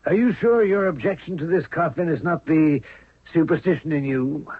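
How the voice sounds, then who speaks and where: An actor playing a cold-blooded, scheming royal courtier in a 1970s radio drama, persuasive and deliberate. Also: male voice, fairly high pitch, husky, no room sound. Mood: smooth goading. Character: scheming, cold-blooded male courtier plotting murder of the pharaoh. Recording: narrow, tinny 1970s radio recording.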